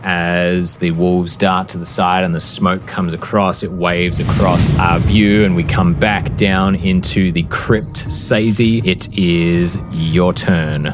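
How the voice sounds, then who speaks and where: A man with a low voice narrates a tabletop fantasy game as game master. His storytelling is dramatic and descriptive, and music plays clearly behind him. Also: fairly even intonation, slow, few pauses. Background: background music.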